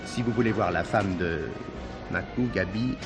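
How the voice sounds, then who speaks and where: A man's voice: deep voice, moderate pace, over music, natural voice. An actor playing a chatty, theatrical backstage man in a French stage comedy-mystery, exclaiming and teasing as he evades questions.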